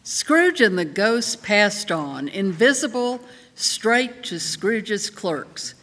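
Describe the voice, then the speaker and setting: A woman's voice: medium pitch, sing-song, extremely slow, a bit bright, a little hiss or hum. A woman narrating a Victorian tale in a live dramatic reading. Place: live in a church. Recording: reverberant hall.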